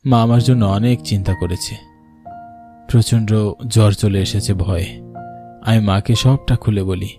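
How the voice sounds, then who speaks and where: A man's voice: on the low side, steady tone, measured pace, pauses often. Male horror story narrator over music.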